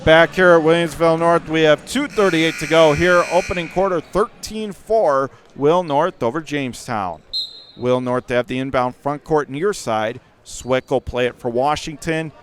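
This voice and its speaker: A man calling live radio basketball play-by-play in clipped phrases that can burst into excited calls. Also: fairly high pitch, moderate pace, a little hiss or hum. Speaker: play-by-play announcer. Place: courtside in a high school gym during a game.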